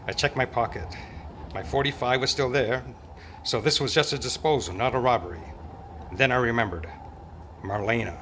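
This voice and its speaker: A man's voice: mid-range voice, normal speed, long pauses, close to an everyday voice. A man playing a tough, gallant private eye in an old-time radio drama, with a wry, world-weary, slangy delivery. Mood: groggy, narrating. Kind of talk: hardboiled radio detective narration and dialogue. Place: club meeting, live performance before microphone. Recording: noisy amateur live stage recording.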